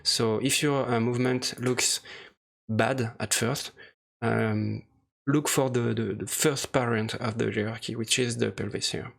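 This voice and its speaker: A man with a noticeably creaky voice at an average male pitch walks through a screen-recorded tutorial. He talks off the cuff, in short hesitant phrases, as he explains each step. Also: steady tone, measured pace, a bit bright. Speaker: software tutorial presenter.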